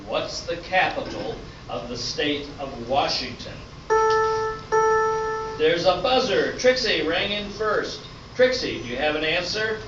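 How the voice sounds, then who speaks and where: Casting: man as showy, upbeat quiz host, fairly high voice, amateur radio-play comedy; echoey room. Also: animated, unhurried, over music. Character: upbeat, patient male game show host. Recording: roomy, distant, noisy dull stage recording.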